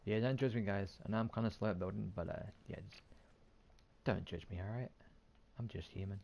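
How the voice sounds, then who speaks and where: A man's voice: on the low side, brisk, vocal fry, dark tone, muffled recording, slight background noise. A man giving casual gameplay commentary as a streamer, half muttering to himself in a self-deprecating way. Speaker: gaming streamer. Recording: faint game sound behind voice.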